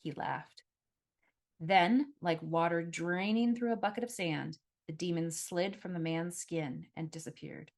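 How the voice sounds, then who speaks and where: A woman reading her own first-person novel aloud as a scripted narration, with expressive, dramatic storytelling. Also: on the low side, a little slow.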